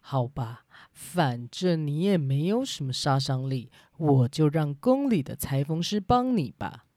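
Woman voicing a pompous, low-pitched storybook king. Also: big pitch swings, mellow, no room sound, studio quality. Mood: amused, dismissive. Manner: pompous, drawn-out delivery. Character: grand, amused, vain old-fashioned king, adult man.

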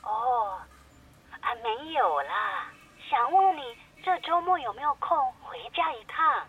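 A woman's voice: high-pitched, lively intonation, unhurried, husky, heavily acted. A woman playing a nagging, melodramatic middle-aged mother in a comedy radio drama, heard through a telephone filter. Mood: wheedling. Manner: wheedling, dramatic, chatty. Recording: tinny band-limited phone-call sound.

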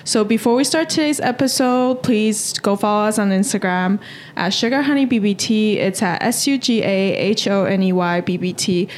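A woman's voice: mid-range voice, normal speed, darkish tone, a little hiss or hum. Casual young woman podcast host. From Taiwan. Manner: casual, hesitant, many fillers like "kinda, like".

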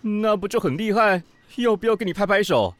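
Blustering, easily flustered cop, swinging wildly. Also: male voice, sits high, animated, fairly quick, a little hiss or hum. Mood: irritated sarcasm. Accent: Taiwanese Mandarin. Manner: blustering, grumbling, sputtering when flustered. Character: proud, foul-mouthed, easily flustered male police officer. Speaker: voice actor. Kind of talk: audio drama acting.

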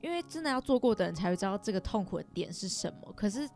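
A woman's voice: mid-range voice, expressive, very fast, a little mellow, soft background music. A woman in her twenties with a Taiwanese Mandarin accent, telling personal stories casually and self-deprecatingly as a podcast guest. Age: 20s.

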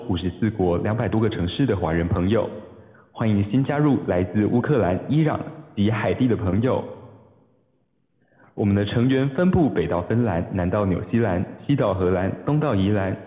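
A man's voice: on the low side, lively intonation, brisk. A man reading a scripted welcome announcement as a club announcer. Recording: dull, echoey, reverberant phone-like audio.

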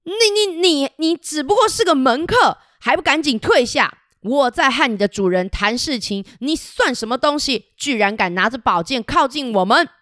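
A woman doing a jumpy, stammering old king in a storybook tale, his voice shooting high whenever he is rattled. The reading is close-miked and dry. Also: animated, moderate pace, hardly any pauses, fairly bright tone, no room sound. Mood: angry. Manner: stammering, flustered. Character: flustered, angry old male king, stammering.

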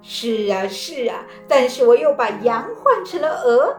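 Cheery simple old grandpa in a storybook, a lady doing him, highish voice, roomy sound, bit of music behind. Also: animated, a little slow. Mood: cheerful. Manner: proud, easygoing, chuckling. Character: foolish, cheerful old man.

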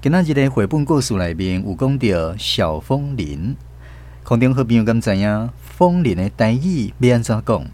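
A man's voice: mid-range voice, sing-song, moderate pace, mellow. A man with a Taiwanese accent presenting a scripted introduction as a radio host.

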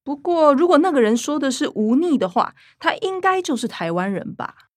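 An actress playing an earnest, fussy young younger sister in a radio drama, with a Taiwanese Mandarin accent. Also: female voice, high voice, sing-song, normal speed, no echo, studio quality. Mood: earnest explaining.

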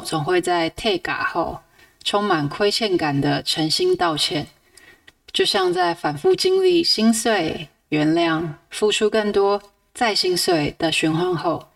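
A woman's voice: on the high side, gravelly, no room sound, recorded from a distance. A woman, narrating a scripted book summary as a podcast host. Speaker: podcast host reviewing books.

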